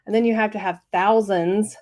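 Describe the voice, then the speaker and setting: A middle-aged woman guest talking over a video call from her home office, her voice scratchy from bronchitis, often pausing with fillers. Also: medium pitch, fairly even intonation, darkish tone. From Tennessee. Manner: hesitant, many fillers. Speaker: guest, health coach.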